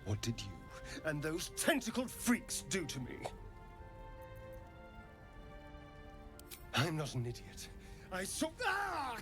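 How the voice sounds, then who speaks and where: A man's voice: on the high side, wildly swooping pitch, measured pace, over music. An actor playing a sly, haughty male rogue in a video game, with a theatrical, mock-polite manner and a menacing edge. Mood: accusing, alarmed. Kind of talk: video game character acting.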